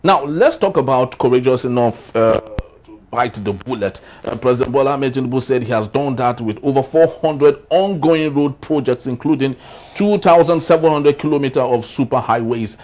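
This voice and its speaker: A man with a Nigerian accent hosting and moderating a political discussion in a measured, somewhat hesitant way. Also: medium pitch, darkish tone, a little hiss or hum. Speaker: talk show host.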